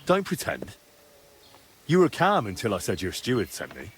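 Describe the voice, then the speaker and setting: A man's voice: lowish voice, wildly swooping pitch, normal speed. A man playing an earnest young investigator in a medieval video game drama, direct and questioning, reassuring but pressing. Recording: clear background ambient noise.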